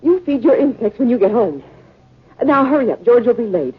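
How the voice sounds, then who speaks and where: A woman's voice: a little high, very animated, a little fast, husky, exaggerated voice. A woman playing an anxious, squeamish professor's wife who loathes insects, in an emotive 1950s radio drama style. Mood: irritated. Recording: dull, band-limited 1950 radio recording.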